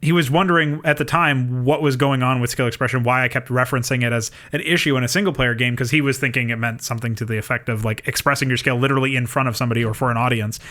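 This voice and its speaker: Bright-voiced man in analytical podcast talk. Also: medium pitch, fairly even intonation, fast, few pauses. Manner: opinionated, wordy, mildly profane. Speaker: podcast co-host. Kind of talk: casual analytical gaming discussion. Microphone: mic on an online voice call.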